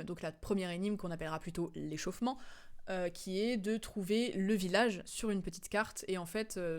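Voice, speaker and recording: A woman's voice: on the low side, clean tone. A woman streamer chatting casually and digressively as she recaps a video game's story, with a giggly manner. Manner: chatty, digressive, giggly, acts out quotes in slang.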